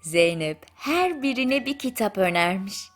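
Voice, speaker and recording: A woman narrating a bedtime story in a soothing, gentle manner with drawn-out pauses. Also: mid-range voice, sing-song. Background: music.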